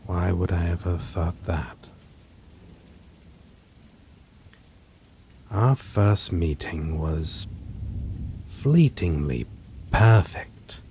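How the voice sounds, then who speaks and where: A man playing a possessive, aristocratic vampire in a dramatic audio roleplay, speaking formally and theatrically with lingering pauses and a breathy voice that often drops toward a whisper. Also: deep voice, lively intonation, warm tone, heavily acted. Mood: regretful, brooding. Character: possessive male vampire, menacing, wistful, aristocratic. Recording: faint noise, rain and thunder ambience.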